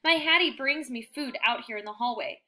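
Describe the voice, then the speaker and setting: A woman reading a children's book aloud in an animated, emphatic storytelling style. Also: a little high, a little fast, a little hiss or hum. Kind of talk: expressive children's book narration.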